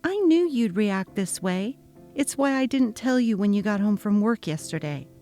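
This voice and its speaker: A woman's voice: medium pitch, animated, normal speed, dark tone, soft background music, lightly acted. A woman reading as a tired young mother. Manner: tired, earnest, pleading. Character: exhausted young new mother. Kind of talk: dramatic reading of a text-message story.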